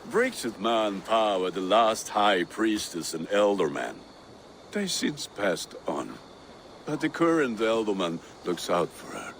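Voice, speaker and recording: Lovestruck Viking smith, male, hearty and blunt, low voice, unhurried, for game dialogue. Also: very animated, a bit bright, slight background noise, heavily acted. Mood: fond, proud. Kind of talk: video game dialogue acting.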